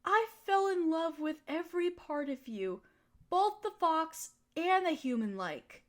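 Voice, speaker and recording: Woman acting a nurturing girlfriend, high voice, affectionate, teasing, soothing pet-talk, intimate audio roleplay. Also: animated, a little slow. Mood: reassuring. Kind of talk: intimate comfort roleplay monologue.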